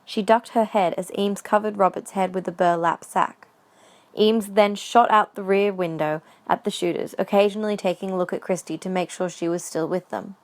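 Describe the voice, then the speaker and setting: A woman's voice: mid-range voice, fairly even intonation, normal speed. A woman reading scripted story narration for an audio drama.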